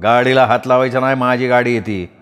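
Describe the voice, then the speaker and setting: A man's voice: mid-range voice, fairly even intonation, a little fast, fairly bright tone, clear, resonant tone, long reverb tail, lightly acted. A man voicing a stubborn former soldier turned farmer who talks bluntly and defiantly.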